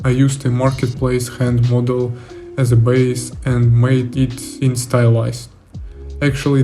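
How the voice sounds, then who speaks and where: A young man narrates a scripted video about his work as an indie developer. His delivery is very even and plain, with little rise or fall. The tone is dark and relaxed, and faint music plays behind him. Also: medium pitch. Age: young adult.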